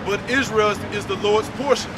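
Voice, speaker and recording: A man reading scripture aloud at a measured pace during street preaching. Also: sits high, a crowd in the background. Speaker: scripture reader. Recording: distant outdoor street recording with traffic.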